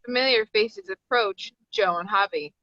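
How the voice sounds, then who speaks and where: A woman narrates a script's stage directions at a table read. Her voice is bright and mid-pitched, recorded very dry and slightly dull in fidelity. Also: moderate pace, no echo.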